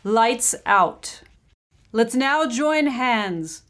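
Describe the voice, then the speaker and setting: A seance medium lady, kinda high voice, formal and solemn, theatrical radio play style. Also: expressive, drawn-out words, fairly bright tone, full character voice. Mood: solemn, soothing. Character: gracious, solemn female seance medium hosting guests. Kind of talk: old-time radio drama acting.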